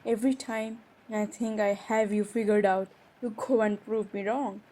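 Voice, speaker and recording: A woman playing a hurt, defiant young college-age stepsister in an improvised audio roleplay, speaking emotionally and haltingly with sighs and pauses. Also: mid-range voice, fairly even intonation, dark tone, lightly acted. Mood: hurt, bitter. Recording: faint background noise.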